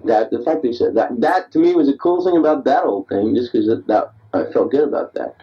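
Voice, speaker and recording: A man's voice: medium pitch, lively intonation, fast, husky, distant mic, dull audio. A man of thirty-one, a bit drunk after beers, rambling and self-deprecating as he records a late-night diary on an answering machine. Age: 30s. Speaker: diarist recording himself.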